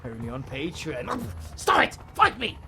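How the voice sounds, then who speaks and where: A man's voice: on the high side, wildly swooping pitch, unhurried, gravelly, over loud music, exaggerated voice. A British man playing a theatrical supervillain in a romantic comedy audio roleplay, swinging between menace and exasperation at the heroine he is smitten with. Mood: exasperated, panicked. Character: flustered theatrical male supervillain, smitten with his heroine rival.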